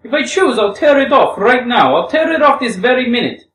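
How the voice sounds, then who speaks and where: A woman's voice: medium pitch, very animated, moderate pace, voice pushed up, close to an everyday voice. A woman playing a volatile, wounded young Russian woman in a storybook reading, with theatrical exclamations. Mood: taunting, defiant. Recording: roomy, distant microphone.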